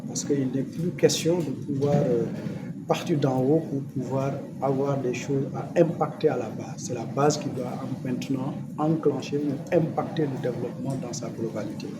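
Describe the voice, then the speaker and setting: Loud ambient noise surrounds a man with a Senegalese accent and a mid-pitched voice. He speaks as an official to the press, unscripted yet formal, explaining things in a didactic way. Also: steady tone, normal speed. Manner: formal and didactic, posing and answering his own questions. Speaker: government official.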